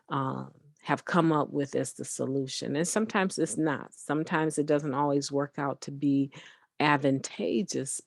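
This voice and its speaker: Low, gravelly woman with a booming voice. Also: no room sound, clean studio sound. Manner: earnest, conversational, frequent tag questions. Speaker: recovery meditation speaker. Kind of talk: encouraging spoken daily meditation.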